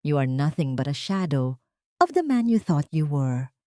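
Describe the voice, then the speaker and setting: A woman voicing a calm, wronged wife and mother in an audio drama, speaking in a hushed, controlled, cutting way. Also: deep voice, a little slow, dry recording, clean studio sound, pitched below the performer's own voice. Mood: cold, scornful.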